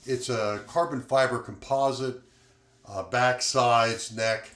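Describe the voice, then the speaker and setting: A man reviewing an acoustic guitar in a relaxed, rambling way, with lots of fillers and a loud voice. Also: mid-range voice, slow pace. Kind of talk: casual product review with playing. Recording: echoey room, guitar playing behind.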